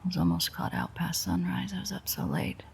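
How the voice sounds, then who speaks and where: A woman playing a sardonic, teasing, world-weary female vampire in an intimate audio roleplay, with a breathy, husky, whispery voice and a dry manner. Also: on the low side, huge pitch swings, fairly quick, darkish tone, heavily acted. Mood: wry, reminiscing.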